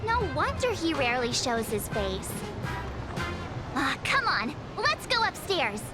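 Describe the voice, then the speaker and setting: A woman playing a cheerful, excitable young girl traveler in a video game, with a bubbly, chatty and expressive delivery. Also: high voice, slow, loud music behind the voice, full character voice. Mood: surprised.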